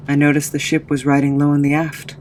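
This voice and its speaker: A man acting a guilt-ridden, brooding man, slightly high-voiced. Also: completely flat delivery, fairly quick, a little mellow, a little hiss or hum, close to an everyday voice. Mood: grim, tense. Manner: brooding, remorseful. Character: guilt-ridden man recounting his tragic past. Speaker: narrator of own backstory. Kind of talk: dramatic scripted monologue.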